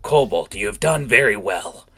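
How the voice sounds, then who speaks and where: Kobold tribe leader, voiced by a man, bright forceful declaiming, doting on favorites and scornful of others, tabletop game. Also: low voice, expressive, moderate pace, creaky, slightly rough, soft background music, full character voice. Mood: proud praise.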